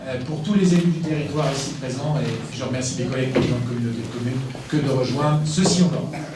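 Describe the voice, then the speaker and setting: A man speaking as an elected official in a formal ceremonial address, deliberate and rhetorically repeating phrases. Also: medium pitch. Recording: echoey room, distant mic, background noise.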